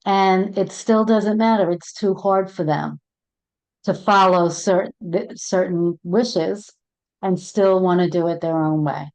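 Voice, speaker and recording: A woman's voice: fairly low pitch, takes it slowly, darkish tone. A middle-aged woman with a Brooklyn accent, a co-host and end-of-life doula, speaking earnestly in a casual conversation and repeating words as she goes. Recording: dull lo-fi audio.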